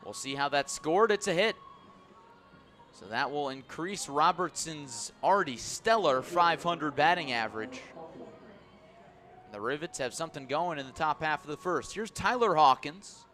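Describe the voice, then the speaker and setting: A man calling baseball live on the radio as play-by-play announcer, measured between pitches and excitable on big plays. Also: faint music behind. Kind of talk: live radio sports commentary.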